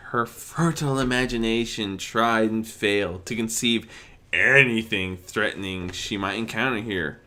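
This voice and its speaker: Animated storyteller with lively, swooping pitch. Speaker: audiobook narrator. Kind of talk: expressive narration of fan fiction.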